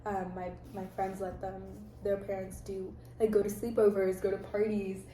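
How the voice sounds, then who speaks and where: A woman's voice: medium pitch, flat delivery, normal speed, roomy sound, noisy background. A young American woman hosting a casual chat, telling stories about her life in an earnest, reflective way. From United States. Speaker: host.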